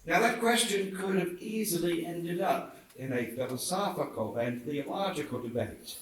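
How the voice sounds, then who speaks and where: Higher-voiced man orating in a very echoey hall. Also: normal speed. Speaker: reader at a commemorative event. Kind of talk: public reading of a historic speech. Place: indoor theatre event. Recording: very echoey hall, distant mic, faint noise.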